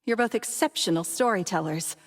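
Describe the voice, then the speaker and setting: A sly villainess played by a woman speaks at a medium pitch with controlled, condescending poise. Her game dialogue rings with long reverb. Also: fairly quick, close to an everyday voice. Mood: coolly mocking. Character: smooth, coolly mocking female villain in a mystery. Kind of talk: video game character acting.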